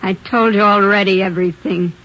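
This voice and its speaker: Actress as defiant murder suspect, dull old radio. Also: female voice, medium pitch, steady tone, a little fast, natural voice. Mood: weary, irritated. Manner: blunt, slangy, snappish. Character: defiant, hot-tempered married woman, a murder suspect. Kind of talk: 1940s radio drama acting. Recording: dull lo-fi 1940s radio recording.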